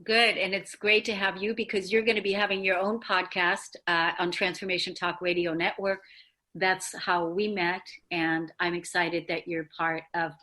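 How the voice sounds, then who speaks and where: A woman hosting a discussion show in a conversational, somewhat halting and rambling way. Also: fairly low pitch, level tone, sharp tone. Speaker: talk show host.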